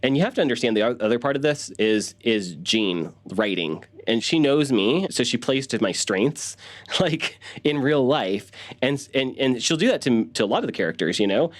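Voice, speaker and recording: A man talking casually in an interview as a voice actor, halting and repeating words as he explains. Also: mid-range voice, expressive, no echo.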